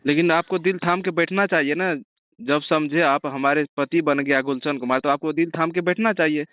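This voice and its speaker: A man's voice: on the high side, steady tone, normal speed, dry recording. A man conducting a blunt, accusing interview over the phone, badgering with pointed questions. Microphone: phone call. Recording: narrow tinny phone-line call.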